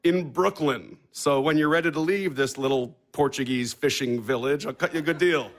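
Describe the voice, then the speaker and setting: A man's voice: fairly high pitch, lightly acted. An actor in an audio play as a brash, cynical, wealthy man who talks bluntly and mocks everyone around him. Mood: sardonic. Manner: blunt, sarcastic, quick to mock.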